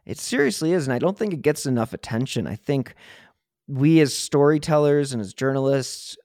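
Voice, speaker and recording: A man with a medium-pitched voice speaks as a podcast host in conversation. He is earnest, colloquial and ready with his opinions. The sound is dry, with very little room around the voice. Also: expressive, normal speed, darkish tone, no room sound. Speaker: true crime podcast host. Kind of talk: casual conversational interview talk.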